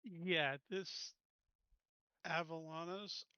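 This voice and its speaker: Guy at a tabletop game, sharp forward voice, chatting casually, very dry sound. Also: fairly high pitch, steady tone, drawn-out words, smooth, no room sound, muffled recording.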